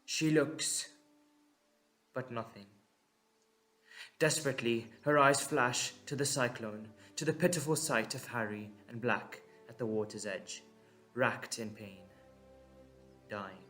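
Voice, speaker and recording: A man narrating a dramatic story in short, measured, suspenseful phrases. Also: medium pitch, a bit bright, faint music behind.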